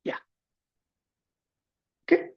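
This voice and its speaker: A man demonstrating finance software on a shared screen, thinking aloud with frequent restarts. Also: very slow. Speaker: software instructor. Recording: muffled lo-fi computer mic.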